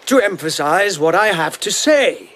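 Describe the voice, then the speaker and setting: A proud, irascible nobleman with a high-pitched voice. He is haughty and commanding yet easily unnerved, in radio play style with faint ambience. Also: big pitch swings, unhurried, a bit bright, slight background noise, exaggerated voice. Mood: haughty, irritated. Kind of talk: radio drama acting.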